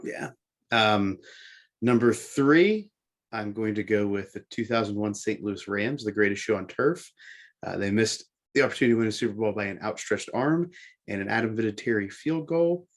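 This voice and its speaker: Male sports podcast co-host, lower register, giving casual countdown commentary. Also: moderate pace.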